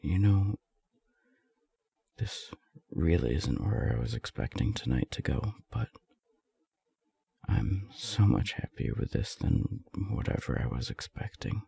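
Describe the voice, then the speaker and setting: Husky, low, hesitant lovestruck friend, acted by a man. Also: big pitch swings, full character voice. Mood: shy, happy. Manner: hesitant, halting, soft-spoken. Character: lovestruck, self-deprecating non-binary friend, shyly confessing. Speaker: roleplay audio performer. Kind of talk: intimate romantic roleplay audio acting.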